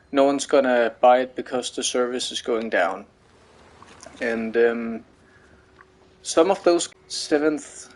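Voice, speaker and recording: Slow, hesitant Danish-accented man. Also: mid-range voice, darkish tone. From Denmark. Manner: hesitant, full of fillers and restarts. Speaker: streamer. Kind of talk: let's play commentary.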